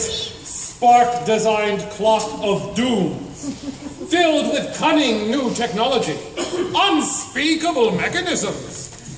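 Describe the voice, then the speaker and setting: A man playing a grandiose, fatalistic adventurer hero in a comic radio drama, declaiming pompously. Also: high voice. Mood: ominous, theatrical. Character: grandiose self-styled hero, cheerfully fatalistic male adventurer. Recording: echoey hall stage, distant, faint noise.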